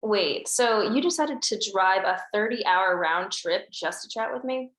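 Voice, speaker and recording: A woman's voice: mid-range voice, expressive, measured pace, fairly bright tone. Wry young woman, read by a woman. Dry, understated replies, roomy echo. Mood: curious. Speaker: table read actor. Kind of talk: table read of a screenplay.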